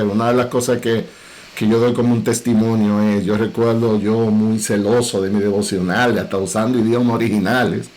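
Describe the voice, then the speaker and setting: A middle-aged man with a Dominican Cibao accent, a pastor speaking earnestly and in a measured way on a parenting panel. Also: mid-range voice, a little mellow, low-quality recording. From Dominican Republic.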